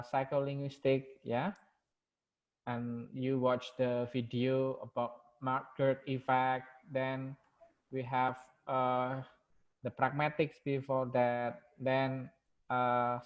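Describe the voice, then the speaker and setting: A male university lecturer with an Indonesian accent reviewing the course in an online class, halting and full of fillers and repeated "yeah". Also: medium pitch, lo-fi sound.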